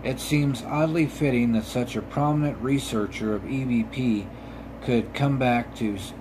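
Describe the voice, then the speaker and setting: A man with a mid-pitched voice narrates paranormal tales as a podcast host. He reads from a script haltingly, tripping on words and starting them again. A clear, steady hum of room noise sits behind him. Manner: halting reading, stumbling and repeating words.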